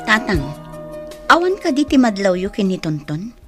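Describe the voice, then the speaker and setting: An actress playing a prying older sister in a radio drama, questioning and teasing. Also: female voice, mid-range voice, very animated, moderate pace, faint music behind, lightly acted. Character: older sister Manang Sally, nosy and prying.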